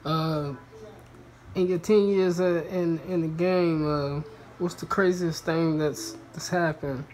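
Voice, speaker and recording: A man interviewing a guest, asking questions haltingly with many fillers. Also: on the high side, fairly even intonation, darkish tone. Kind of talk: casual interview.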